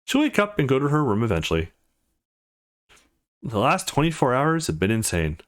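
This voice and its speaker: Visual novel protagonist, read by a man: brooding young male, mid-pitched, very varied intonation. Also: wildly swooping pitch, moderate pace. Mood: brooding, questioning. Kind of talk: reading visual novel inner monologue.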